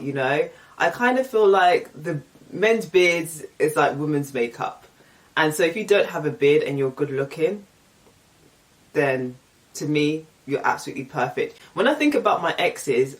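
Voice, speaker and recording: A woman's voice: low voice, expressive, moderate pace, far from the mic. A young woman with an English accent chatting casually and candidly, reading out and answering get-to-know-me questions. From England. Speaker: vlogger.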